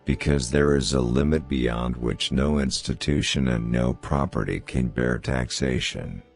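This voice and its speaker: A man reads famous quotations as a scripted narration, in a measured, formal manner. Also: rumbling low, fairly even intonation, creaky. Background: background music.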